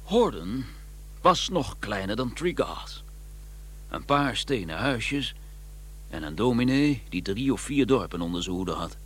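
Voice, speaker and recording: An actor playing a grumbling, proper gentleman investigator who also narrates a radio play, wry and easily exasperated, with a husky voice. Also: lowish voice, very animated, measured pace, full character voice. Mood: wry narrating.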